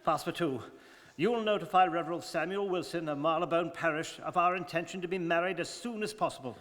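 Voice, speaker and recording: A man playing a reserved, precise English gentleman in a radio drama, speaking formally and with stoic restraint. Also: on the high side, quick pace, close to an everyday voice. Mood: earnest. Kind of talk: stage radio drama acting. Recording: very reverberant studio hall.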